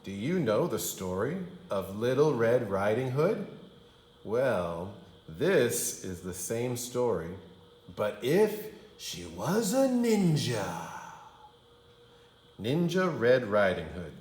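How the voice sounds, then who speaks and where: A man reads a children's storybook in a roomy, echoing space. His delivery is very slow and highly animated, swinging dramatically through the phrases like a kindergarten teacher at story time. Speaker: kindergarten teacher reading aloud. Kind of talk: animated storybook read-aloud.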